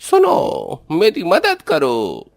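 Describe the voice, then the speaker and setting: Wildly swooping, creaky little magic bumblebee. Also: mid-range voice, wildly swooping pitch, extremely slow, dry recording, full character voice. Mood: pleading. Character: magical talking bumblebee, male, small, grateful and eager. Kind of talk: cartoon story character voice.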